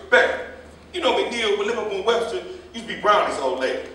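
Guy acting gossipy cab driver in a play, highish voice, indignant, very echoey room. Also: very animated, moderate pace, sharp tone, full character voice. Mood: nosy, scornful. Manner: chatty, indignant, long-winded storytelling. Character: gossiping, meddlesome male jitney cab driver. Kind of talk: staged reading of a stage play. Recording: echoey stage, distant mic, faint noise.

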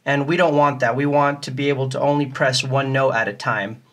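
A man's voice: mid-range voice, fairly even intonation, fairly quick, fairly bright tone, a little hiss or hum. A man giving a casual, step-by-step tutorial in a plain, methodical way. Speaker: music tutorial host.

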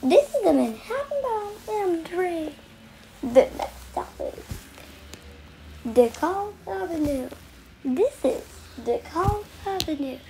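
Very high-pitched woman announcing train stops. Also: very animated, takes it slowly, mellow. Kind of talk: scripted transit station announcements. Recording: noisy recorded train announcements.

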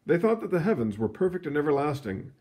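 A man reading a children's science book aloud in an expressive storytelling manner, stretching words for emphasis, with casual asides. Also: fairly high pitch. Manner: animated, storytelling delivery with drawn-out emphasis. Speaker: read-aloud reader.

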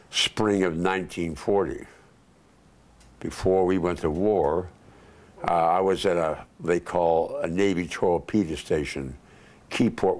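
An older man telling war memories in a casual interview, unhurried and rambling with many fillers, recorded on a lapel mic in a living room. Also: low voice, darkish tone. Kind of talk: casual oral history interview. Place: living room, seated on couch.

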